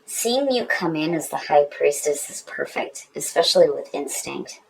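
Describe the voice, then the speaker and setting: A woman's voice: sits low, animated, normal speed, vocal fry, crowd noise, poor audio. A woman speaking as a tarot reader in a casual, conversational style, with an informal, emphatic manner.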